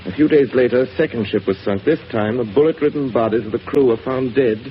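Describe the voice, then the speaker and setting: An actor playing a calm gentleman detective. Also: medium pitch, natural voice. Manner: measured, confident, explanatory. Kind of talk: 1930s radio drama acting. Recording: hissy lo-fi 1930s radio broadcast.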